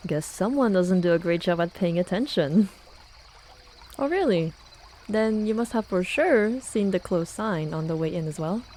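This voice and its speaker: A woman's voice: mid-range voice, darkish tone, a little hiss or hum, lightly acted. A young woman acting a playful, teasing student friend in a casual, intimate ASMR roleplay. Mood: playfully teasing. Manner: casual, teasing, with sighs and chuckles. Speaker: voice actor. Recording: running and trickling water behind voice. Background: hot spring water ambience.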